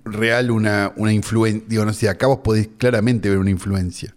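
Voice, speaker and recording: A man's voice: fairly low pitch, steady tone, brisk. A man with a Rioplatense Spanish accent, co-hosting a podcast, with wry, sardonic banter in casual chat. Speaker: podcast co-host.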